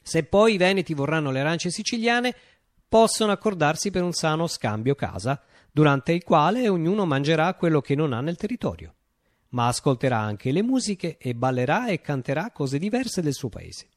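A man's voice: a little high, big pitch swings, no room sound, studio quality. Man acting a declamatory, visionary revolutionary leader, swooping intonation. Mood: ironic, proclaiming. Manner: declamatory, with deliberate dramatic pauses. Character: visionary utopian revolutionary leader, poetic and grandiose. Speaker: actor performing a radio monologue. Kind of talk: theatrical radio drama monologue.

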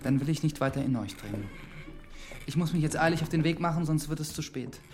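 A man's voice: on the high side, quick pace, lightly acted. A young man playing a polite, earnest young priest in a radio drama, speaking formally and carefully. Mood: earnest, probing. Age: young adult. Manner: formal, considerate, careful questioning. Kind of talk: radio drama acting with first-person narration.

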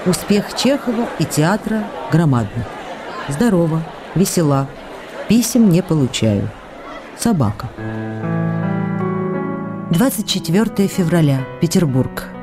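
An actress playing an affectionate, emotional stage actress reading out her letters to her lover in a radio play, expressive and intimate. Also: lowish voice, unhurried, long pauses, music in the background, a crowd in the background. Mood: anxious, affectionate. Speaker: actress in an audio drama.

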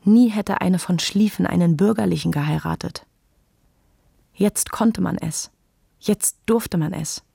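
A woman's voice: fairly low pitch, a little slow, vocal fry, mellow, husky, no room sound, clean studio sound. A woman reading scripted literary narration for a radio play.

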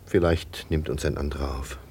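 An actor in a German radio play playing a downcast, forbearing father driven from his home, with grave, deliberate diction. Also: a bass voice, flat delivery, gravelly, a little mellow, breathy edge, exaggerated voice. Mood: pleading, resigned.

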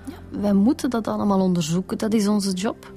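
A woman with a Flemish accent playing a calm, matter-of-fact police detective in a radio drama, informal and reassuring. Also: mid-range voice, brisk, soft background music, lightly acted. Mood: reassuring, probing.

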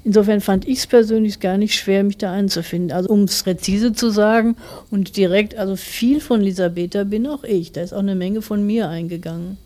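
A forty-year-old woman speaks as an author being interviewed. Her voice is dark and relaxed at an average pitch. She explains her thinking carefully and reflectively. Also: a little fast. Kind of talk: thoughtful interview answers.

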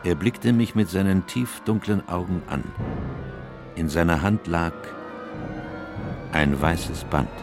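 An actor playing a sober gentleman doctor in a radio detective drama, with a grave, measured way of speaking. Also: low voice, steady tone, over music. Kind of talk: radio drama narration and dialogue.

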